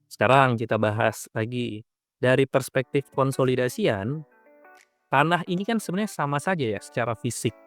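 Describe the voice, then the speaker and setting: A man's voice: mid-range voice, normal speed, faint music behind. A man explaining material step by step in an informal, conversational tutorial as a teacher. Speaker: accounting teacher.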